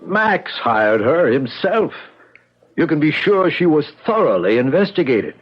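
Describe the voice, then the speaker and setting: Volatile middle-aged dancer in radio drama. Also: male voice, on the high side, sing-song, unhurried, slightly rough, heavily acted. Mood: soothing. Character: male ballet star nearing middle age, short-tempered but protective. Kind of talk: 1970s radio drama acting. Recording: muffled 1970s radio broadcast recording.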